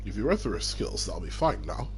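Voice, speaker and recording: A man's voice: fairly low pitch, very animated, fairly quick, darkish tone, slight background noise. A man live-streaming a video game, explaining things in an informal, rambling way as he plays. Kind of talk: casual live gameplay commentary. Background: game music.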